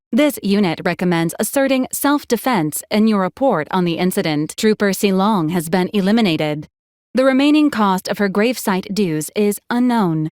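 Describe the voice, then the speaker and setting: Actress doing a polite officious robot lady from a game, clinical n literal, measured delivery, dry close sound. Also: mid-range voice, a little mellow, no room sound, close to an everyday voice. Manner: formal, measured, robotic protocol announcements. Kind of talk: video game character voice lines.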